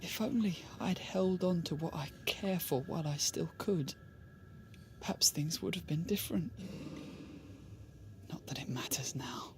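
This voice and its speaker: An actor playing an ailing, devoted young male scholar, speaking with earnest, formal intensity and a frail, breathy voice in a dramatic game style. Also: a little high, measured pace, pauses often, in a whisper. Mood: weary, grieving.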